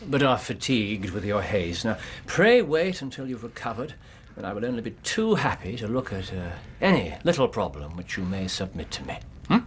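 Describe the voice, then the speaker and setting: Radio play lead: composed, shrewd English gentleman sleuth, courteous, precise, highly varied intonation. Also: big pitch swings, normal speed, few pauses, a little hiss or hum. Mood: courteous, soothing. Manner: courteous, precise, with musing hums. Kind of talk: radio drama acting.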